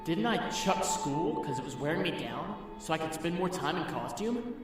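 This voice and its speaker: Guy doing a young superhero in a comic dub, kinda high voice, earnest and dramatic, music pretty loud behind him. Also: expressive, normal speed, close to an everyday voice. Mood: conflicted, musing. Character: earnest young male superhero, lovestruck and torn about identity.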